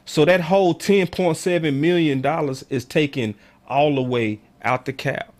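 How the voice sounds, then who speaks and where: Hoarse guy doing passionate sports talk, kinda high voice, raspy, slangy and emphatic. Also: moderate pace. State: hoarse, voice going in and out. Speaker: sports call-in show host. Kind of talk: passionate sports commentary to callers and viewers.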